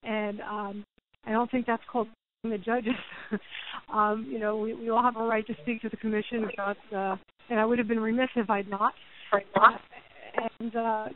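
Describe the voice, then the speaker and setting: Higher-pitched woman on a tinny phone line. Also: flat delivery, moderate pace. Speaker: boxing team representative, promoter. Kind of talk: answering press questions. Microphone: phone call. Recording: hissy, narrowband phone conference line.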